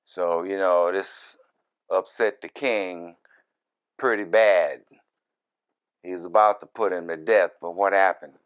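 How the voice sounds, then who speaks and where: A man who is a minister reads scripture verse by verse and explains it in deliberate, broken short phrases with folksy, informal asides. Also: low voice, fairly even intonation, clean tone. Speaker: minister, Bible teacher.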